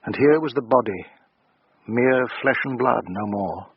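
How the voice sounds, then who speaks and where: An actor playing a grave storyteller, solemnly and deliberately reading lurid gothic prose in a radio drama. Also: male voice, mid-range voice, a little hiss or hum, natural voice. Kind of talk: dramatic literary narration. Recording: dry, slightly dull radio recording.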